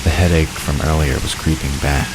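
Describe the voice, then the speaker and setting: A man's voice: rumbling low, steady tone, normal speed. A man reading scripted story narration for an audio drama, with watery ambience behind him. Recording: constant rain-like hiss behind voice.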